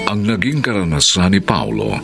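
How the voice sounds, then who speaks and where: A man's voice: deep voice, sing-song, normal speed, creaky. A man with a Filipino accent narrating a radio drama in a solemn, scripted, dramatic announcer's delivery. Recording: radio broadcast with loud music underneath. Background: loud musical score.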